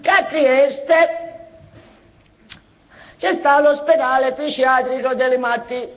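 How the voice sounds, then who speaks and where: A slow, high voice gives us a tormented, childlike madwoman in a tragicomic theatre monologue. She circles obsessively through broken, made-up dialect, repeating and stumbling over her phrases. The recording is dull and slightly muffled. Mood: mournful. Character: mad, childlike mother and former nursery teacher, obsessive and tormented.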